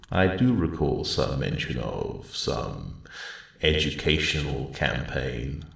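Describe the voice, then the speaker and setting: Casting: aged, kindly dragon for ASMR audio drama. Very low, gravel-thick voice, unhurried, courteous and thoughtful. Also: male voice, echoey room, heavily acted. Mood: amused, curious. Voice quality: deep, gravelly rumble. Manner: measured, formal, gentle, thoughtful phrasing. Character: ancient, kindly, wise old male dragon, weary and hopeful. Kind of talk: ASMR roleplay audio drama, spoken to the listener.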